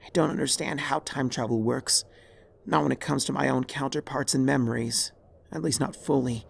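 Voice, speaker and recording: A man voicing a dying, regretful pony mare, a remorseful former tyrant, in a weary, halting, husky voice for a dramatic story. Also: mid-range voice, fairly even intonation, pitched above the performer's own voice. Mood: sorrowful, weary. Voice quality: raspy, husky, creaky.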